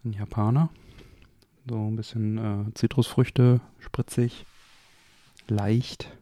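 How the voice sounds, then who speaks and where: A man's voice: on the low side, little pitch movement, slow pace, warm tone, no echo. A man hosting a podcast in a casual, chatty style. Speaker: podcast host. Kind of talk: casual chat.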